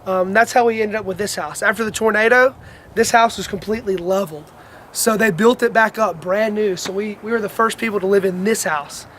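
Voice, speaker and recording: A man's voice: high voice, a little fast, a bit bright, a little hiss or hum. A man, giving a house tour in a casual video submission. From Alabama. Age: 20s. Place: outdoors and in a house.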